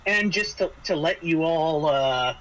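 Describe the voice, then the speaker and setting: A man running a tabletop game as game master, narrating off the cuff in a halting way with repeated words. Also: a little high, expressive, fairly bright tone. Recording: noisy home microphone.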